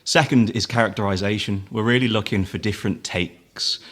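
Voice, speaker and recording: A man who is a musical director, explaining audition advice in a measured, articulate way as an interview guest. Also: lowish voice, steady tone, a bit bright.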